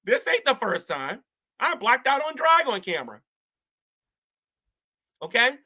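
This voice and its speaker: A man's voice: very high voice, big pitch swings, a little slow, bright, forceful tone. A man giving casual, rambling commentary to camera, slangy and preachy, often talking about faith and spiritual warfare. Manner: rambling, colloquial with "ain't", many restarts; preachy, talks of gospel and spiritual war. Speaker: online commentator. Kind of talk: casual self-reflective commentary.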